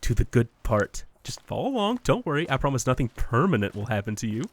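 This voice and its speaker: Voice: medium pitch, very animated, quick pace. Teasing, swooping, eerie imaginary friend, played by an actor. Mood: amused, patronizing. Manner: teasing, sing-song, condescending, with amused chuckles. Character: playful, eerie imaginary best friend, unreal being, smug trickster. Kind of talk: audio fiction podcast acting.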